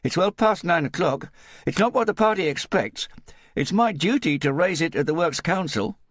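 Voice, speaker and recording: A man's voice: on the high side, expressive, moderate pace, no echo, clean studio sound, voice pushed up. A man voicing a pompous, nagging party-zealot investigator who scolds a colleague in an audiobook. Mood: officious scolding. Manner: officious, nagging.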